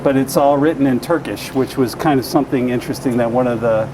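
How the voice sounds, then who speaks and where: A man's voice: medium pitch, fast talker, background noise. Chatty man hosting a store walk-through. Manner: conversational, stumbling restarts. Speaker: TV host. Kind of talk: casual on-location interview. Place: grocery store aisle.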